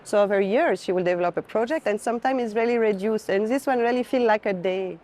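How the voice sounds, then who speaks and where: A woman's voice: mid-range voice, fast talker, a little mellow. A woman curator who is not a native English speaker, talking casually about art and often searching for words. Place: art fair, on the move. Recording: noisy busy fair ambience.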